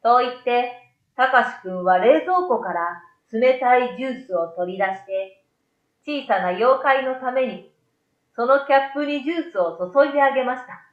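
A woman's voice: a little high, expressive, moderate pace. A middle-aged woman reading a scripted story aloud as a storyteller. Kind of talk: scripted story narration for children and adults. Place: small plain room, indoors. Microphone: desk microphone, off to the side. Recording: roomy echo, slightly dull sound.

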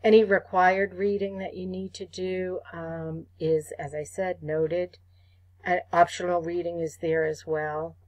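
A woman instructor talking students through an online course site, measured and full of ums and uhs. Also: on the low side, flat delivery, a little mellow, no echo, poor audio. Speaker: college instructor. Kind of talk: screen-recorded course site walkthrough.

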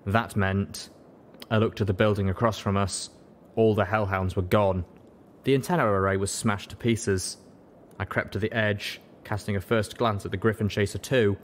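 A man's voice: fairly low pitch, fairly even intonation, normal speed, slight background noise. A man reading scripted story narration as an audiobook narrator. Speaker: audiobook reader.

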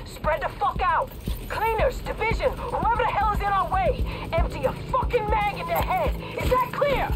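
A woman's voice: extremely high pitch, animated, moderate pace, over loud music, low-quality recording. An actress playing a ruthless, aggressive female mercenary squad leader in a video game, barking foul-mouthed orders. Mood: barking, furious. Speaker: enemy squad commander. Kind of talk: dramatic video game acting.

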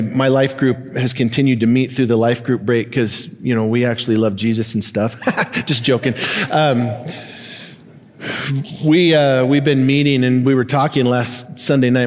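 A middle-aged American man speaks from a church stage into a headset mic, his voice trailing long reverb through the auditorium. He sits at an ordinary male pitch and preaches in an informal, conversational way, starting and stopping as he goes. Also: a little mellow. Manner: informal, joking asides, stop-and-start phrasing. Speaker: pastor. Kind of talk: conversational sermon and announcements to a congregation. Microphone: headset microphone.

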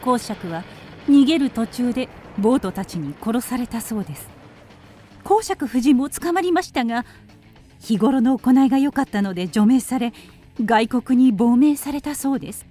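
High, lilting, ladylike canary. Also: animated, moderate pace, warm tone, soft background music. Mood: earnest recounting. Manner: polite, genteel, storytelling. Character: refined, ladylike female canary telling her life. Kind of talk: Japanese radio drama narration.

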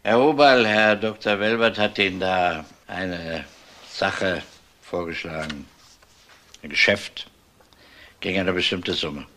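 An actor playing a methodical, world-weary police detective in a crime drama, questioning in a measured, insistent way. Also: male voice, low-pitched, sharp tone, heavily acted. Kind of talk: German TV crime drama dialogue.

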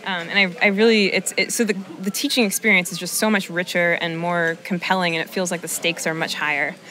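Bright-voiced young woman in an interview. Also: on the low side, fairly even intonation, brisk, soft background music. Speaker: philosophy teacher being interviewed. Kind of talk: casual interview talk.

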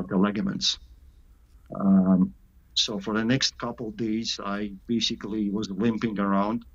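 A man from Canada answering interview questions over a video call, halting, with many pauses and filler sounds. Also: lowish voice, fairly bright tone. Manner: halting, many "uh" pauses, slight non-native grammar. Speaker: sniper interviewed as guest. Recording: dull lo-fi call audio.

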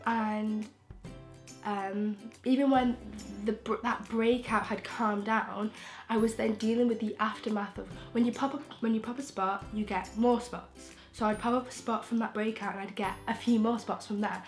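A young woman from England, croaky from a throat infection, chatting frankly and rambling with restarts as a beauty vlogger in her bedroom. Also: medium pitch, steady tone, moderate pace, soft background music. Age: young adult. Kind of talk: casual chatty vlog. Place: bedroom, indoors. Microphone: camera in front, close framing.

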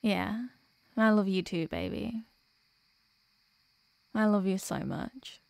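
A woman playing a sweet, sleepy workaholic girlfriend in an ASMR audio roleplay, speaking softly and hesitantly with affection. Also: mid-range voice, very animated, takes it slowly, vocal fry, no echo, heavily acted. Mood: shy, loving.

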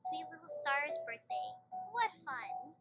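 A woman voicing a giddy, excitable young creature with a crush, easily flustered, in an animated drama. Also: high voice, slow pace, faint music behind, exaggerated voice. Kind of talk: animated fan drama acting.

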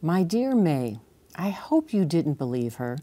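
A woman's voice: low voice, big pitch swings, unhurried, dark tone, exaggerated voice. Woman reading as a reassuring storybook mother. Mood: comforting. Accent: American. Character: loving, encouraging mother of a young girl.

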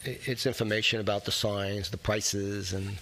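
An older man on a resident committee speaking informally at a stand microphone in a community meeting room, pausing often with fillers. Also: lowish voice, little pitch movement, crowd noise. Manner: halting, with many uh fillers. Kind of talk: informal remarks at a board meeting.

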